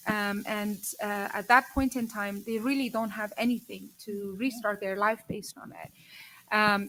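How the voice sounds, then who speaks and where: A woman panelist talks with earnest, halting care, pausing on fillers and circling back over her words. Her voice sits in a middling register. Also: mid-range voice, fairly bright tone, low-quality recording. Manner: earnest, hesitant with many fillers and restarts. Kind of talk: panel discussion.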